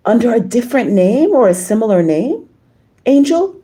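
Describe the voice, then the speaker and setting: Mellow, slightly hoarse woman livestreamer. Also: on the high side, big pitch swings, moderate pace. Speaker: livestream host. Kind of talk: casual livestream chat, reading viewer comments.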